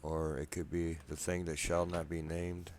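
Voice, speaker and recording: Soft-spoken deep man with a slight cold. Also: flat delivery, normal speed, slight background noise. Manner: soft-spoken, rambling, self-deprecating. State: slight cold, a bit wheezy. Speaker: gameplay video maker. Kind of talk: casual let's play commentary. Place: apartment room at night.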